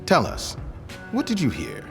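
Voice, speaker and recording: A man's voice: fairly high pitch, wildly swooping pitch, a little slow, vocal fry, heavily acted. A man playing a formal, probing questioner in a period audio drama, dry and pointed. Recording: loud music under the voice.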